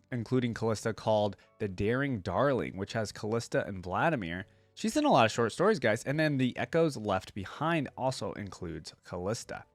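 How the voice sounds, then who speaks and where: A man giving casual reaction commentary, reading aloud and thinking out loud in a conversational way. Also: medium pitch, no echo. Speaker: reaction video host.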